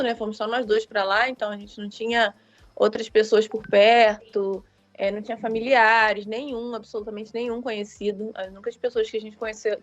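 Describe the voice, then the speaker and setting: A woman's voice: fairly high pitch, dull audio. A woman in her thirties from Rio de Janeiro with a Carioca accent, talking casually as an interview guest and often correcting herself. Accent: Carioca Brazilian Portuguese. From Rio de Janeiro, Brazil. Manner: rambling, many fillers and self-corrections. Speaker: guest writer.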